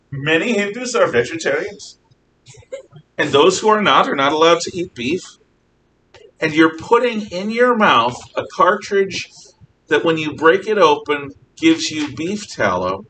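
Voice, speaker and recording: A man lecturing a class as a history teacher, conversational, animated and emphatic in his explanations. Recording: noisy rumbling room, distant mic.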